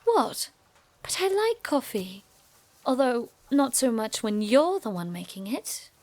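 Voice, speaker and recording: An actress playing a sharp-witted, teasing young noblewoman student in an audio drama, with an arch, dry delivery. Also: on the high side, big pitch swings, unhurried. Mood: grumbling, sardonic.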